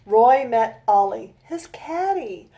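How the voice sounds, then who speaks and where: A woman author reading her children's book aloud in a gentle sing-song manner, with chatty asides to young listeners. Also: fairly high pitch, expressive, takes it slowly.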